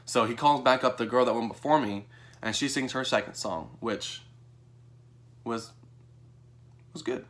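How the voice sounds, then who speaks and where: A young American man tells a story in a casual vlog style. His voice sits at an average pitch for a man. He is animated and informal, slipping into quoted dialogue as he goes. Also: a little slow, long pauses. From Texas, United States. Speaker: vlogger.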